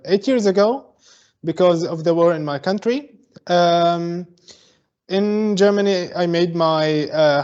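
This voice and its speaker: Guest expert, man from Syria, high voice, halting explainer, muffled video-call sound. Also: darkish tone. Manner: explains step by step, halting with fillers. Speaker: guest, mixed reality advocate. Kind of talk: explanatory online talk. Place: home in Berlin.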